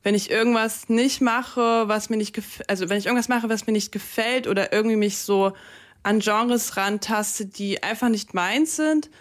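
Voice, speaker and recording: A woman's voice: medium pitch, moderate pace. A young woman whose first language is Russian, a DJ talking casually as a guest in a campus radio studio, with lots of fillers and English slang. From Germany. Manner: chatty, many fillers and English slang words. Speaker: DJ, interview guest.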